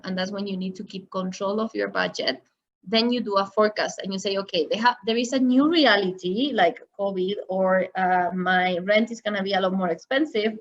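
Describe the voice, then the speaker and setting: A woman's voice: medium pitch, fairly bright tone, lo-fi sound. A woman giving an online masterclass on finance, explaining step by step with examples. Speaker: finance trainer. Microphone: video call.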